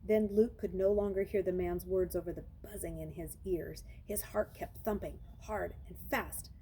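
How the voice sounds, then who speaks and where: A woman's voice: fairly low pitch, steady tone, moderate pace, dark tone, a little hiss or hum, poor audio. A woman reading a children's novel aloud, narrating expressively and dramatizing the story.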